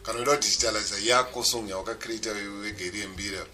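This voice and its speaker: Zimbabwean man, low voice, mic far off. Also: steady tone, very fast, loud music behind the voice. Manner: mixes English and Shona mid-sentence, explanatory. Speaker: music producer giving a seminar. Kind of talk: WhatsApp voice-note lecture. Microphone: phone.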